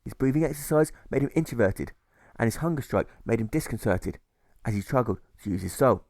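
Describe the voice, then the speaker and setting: A man's voice: mid-range voice, animated, darkish tone, no echo. Man narrating rhyming verse, dry sound. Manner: measured, rhythmic verse delivery. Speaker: author reading own audiobook.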